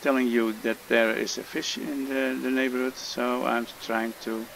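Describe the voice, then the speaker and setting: A man's voice: medium pitch, little pitch movement, moderate pace, vocal fry, background noise. Hesitant Dutch-accented man explaining gameplay. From Netherlands. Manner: hesitant, many "uh" fillers and restarts. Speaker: gamer making a tutorial. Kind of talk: casual gameplay tutorial commentary.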